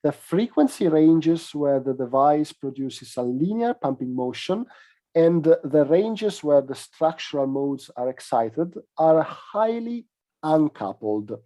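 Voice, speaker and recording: Mellow-voiced man presenting technical research. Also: a little high, lively intonation, slow pace.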